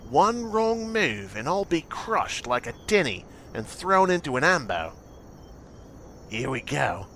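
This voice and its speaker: A man's voice: fairly high pitch, big pitch swings, measured pace, bright, forceful tone, a little hiss or hum, exaggerated voice. A man playing a brash Australian monster hunter, presenting like a slangy wildlife-show adventurer in a parody documentary. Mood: tense.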